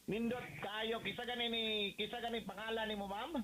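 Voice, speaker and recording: A male radio interviewer with a Visayan accent speaks in a high voice. He chats casually and repeats answers back, through very noisy reception. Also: level tone, a little fast.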